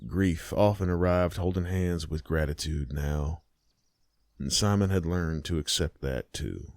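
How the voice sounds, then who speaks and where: A man narrating a horror story in a slow, measured storytelling manner. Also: low voice, a little mellow, no room sound, studio quality.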